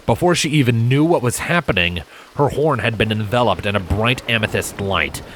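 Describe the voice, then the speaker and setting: A man's voice: on the low side, fairly bright tone, a little hiss or hum. A man narrating a fantasy story in a dramatic, scripted storytelling style. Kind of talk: dramatic fan fiction narration. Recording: rain sound effects behind voice. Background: rain ambience.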